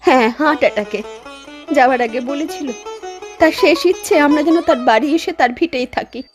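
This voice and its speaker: A woman's voice: high voice, normal speed, faint music behind, lightly acted. A woman playing a polite, anxious widowed mother from the city in a cartoon, speaking earnestly. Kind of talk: Bengali horror cartoon dubbing.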